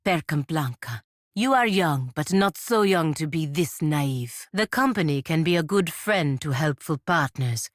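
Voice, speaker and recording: Dutiful, disapproving female knight-diplomat, fantasy RPG. Deep register, formal measured delivery, dry studio sound. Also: lively intonation, dry recording. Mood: scornful. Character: proud, stern, dutiful female paladin envoy of a merchant republic. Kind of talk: scripted fantasy video game dialogue.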